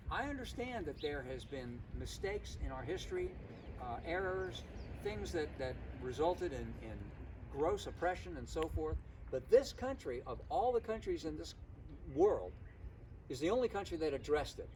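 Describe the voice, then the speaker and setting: An older man speaking out as a concerned citizen, emphatic and deliberate, in an informal impassioned style. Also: on the high side. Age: older, 60s or above. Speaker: concerned local citizen at a protest. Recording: outdoor, distant mic, loud traffic noise. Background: vehicles and outdoor ambience.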